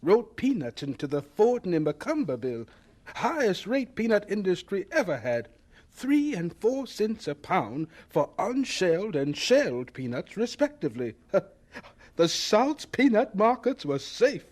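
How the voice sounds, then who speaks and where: Husky, high-pitched old scientist. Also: male voice, sing-song, a little slow, a little mellow, exaggerated voice. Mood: earnest. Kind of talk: radio drama acting.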